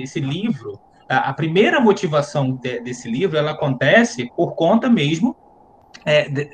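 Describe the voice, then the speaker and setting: Hesitant, fairly high-voiced man lecturing. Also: slight background noise. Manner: explanatory, thinks aloud with frequent hesitant restarts. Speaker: university professor. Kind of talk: academic conference talk.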